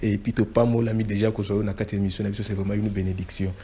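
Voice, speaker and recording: A man's voice: fairly low pitch, flat delivery, rapid-fire, warm tone. A man hosting an interview, often breaking in with short affirmations and speaking in a friendly, affirming way. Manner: affirming, frequent short acknowledgements. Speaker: interviewer, host. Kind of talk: interview, mixing Lingala and French.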